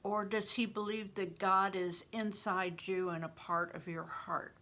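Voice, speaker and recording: A woman's voice: medium pitch, steady tone, measured pace, bright, forceful tone. An older woman, a grandmother reading a story aloud and talking it through in an informal, explanatory way. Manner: explanatory, poses questions to listeners.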